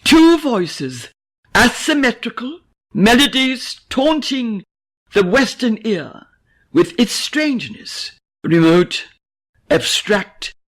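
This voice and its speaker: A woman poet reading her own verse in a dramatic, declamatory manner, in short measured phrases with emphatic stresses. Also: fairly high pitch. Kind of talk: poetry recitation.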